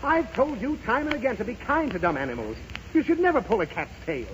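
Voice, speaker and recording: A man's voice: very high-pitched, big pitch swings, fast, a crowd in the background. An actor playing an exasperated, blustery father who sputters as he tries to keep his temper, in 1930s radio comedy style. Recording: muffled noisy 1930s radio recording.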